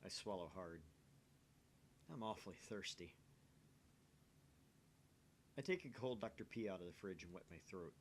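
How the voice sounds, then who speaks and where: Low-voiced man reading a visual novel. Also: moderate pace, long pauses, a little hiss or hum. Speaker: amateur voice reader of a visual novel. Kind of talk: dramatic reading of game narration and dialogue.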